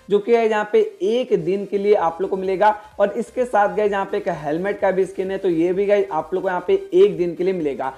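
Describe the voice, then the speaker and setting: A man's voice: sits high, a little mellow. A man doing a casual explainer voice-over as a gaming host, repeatedly addressing his viewers. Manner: repetitive, addresses viewers constantly as guys.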